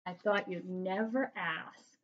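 A woman voicing a gloating, smug male school principal with a mocking, drawn-out delivery, in a storybook reading. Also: lowish voice, fairly even intonation, dull audio.

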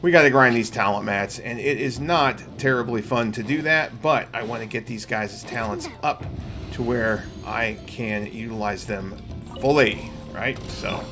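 A man's voice: mid-range voice, a little slow. Chatty middle-aged man streaming, game music behind. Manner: chatty, explanatory, addressing viewers. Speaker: game streamer, channel host. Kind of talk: casual livestream gameplay commentary. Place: indoor room at home.

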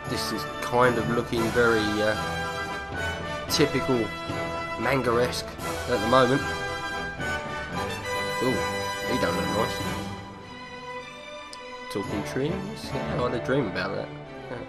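A man's voice: mid-range voice, unhurried, pauses often. A man giving casual, jokey commentary as he plays a video game. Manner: jokey, chuckling asides. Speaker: let's-play host. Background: game music.

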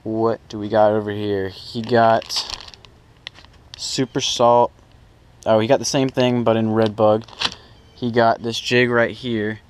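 A man's voice: on the low side, level tone, slow. A young man chatting casually and informally as he vlogs about fishing, recorded outdoors on an action camera. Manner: informal, slangy. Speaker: fishing vlogger. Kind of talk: casual first-person vlog chat. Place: outdoors, at a car trunk and on a small pond boat. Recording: clearly noisy outdoor action-camera audio.

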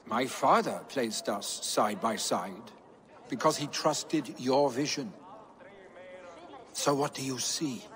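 An actor playing a brash, heartfelt young Viking warrior in a video game cutscene, speaking earnestly and deliberately. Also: male voice, medium pitch, fairly bright tone. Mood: brooding.

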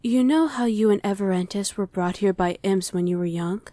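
A woman's voice: medium pitch, moderate pace, mellow, no echo, natural voice. A woman playing a curt, guarded female demon in an ASMR roleplay audio drama. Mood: hesitant, probing.

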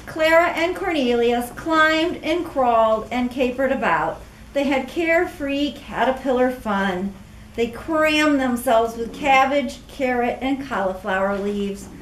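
A woman's voice: high voice, a little slow, far from the mic. An older woman reading a children's story aloud expressively through a face mask, with chatty asides. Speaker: storybook reader. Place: small classroom-like room, indoors. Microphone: camera a few metres away. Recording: echoey room, faint background noise.

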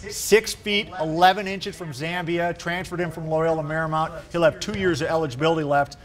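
A man with a fairly high-pitched voice hosts a studio sports program. He speaks in a broadcast interview style, setting up questions for a guest. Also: fairly even intonation, a little fast. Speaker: sports show host. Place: television news studio.

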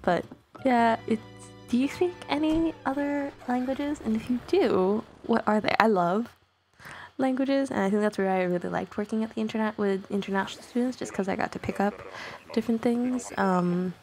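A woman's voice: fairly high pitch, moderate pace, darkish tone, a little hiss or hum. A woman whose first language is Tagalog, rambling through casual commentary over a video game, with small self-conscious laughs. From Canada. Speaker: gaming YouTuber.

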